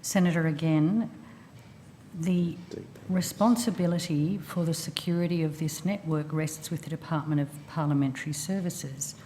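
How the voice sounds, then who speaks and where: A woman's voice: sits low, normal speed, warm tone, a little hiss or hum. A woman, a government official, gives formal testimony in a hesitant, careful, bureaucratic manner. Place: large indoor hearing room.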